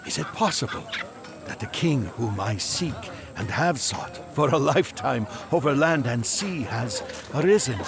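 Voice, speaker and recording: Slow, gravelly old wise man. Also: mid-range voice, expressive, crowd noise. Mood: awed wonder. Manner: solemn, halting, archaic oratory. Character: aged Magian seeker, devout, gentle, world-weary but resolute. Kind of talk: audio drama acting.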